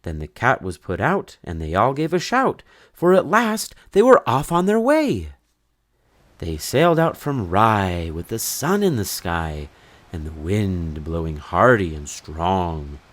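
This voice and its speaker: A man with a low voice reads a children's rhyming tale aloud. His delivery is unhurried and sing-song, swinging widely up and down in pitch. He keeps the verse expressive and measured, in the manner of a storybook read-aloud. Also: no room sound. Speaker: storybook reader.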